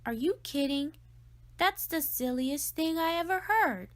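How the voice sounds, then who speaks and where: A woman's voice: high-pitched, lively intonation, measured pace, pitched above the performer's own voice. A woman voicing a young boy in a children's storybook, earnest and insistent, anxious underneath his bravado. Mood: defiant. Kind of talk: children's storybook read-aloud.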